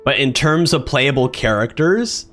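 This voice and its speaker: A man's voice: medium pitch, lively intonation, unhurried, fairly bright tone, faint music behind. A man doing casual live reaction commentary as a game streamer.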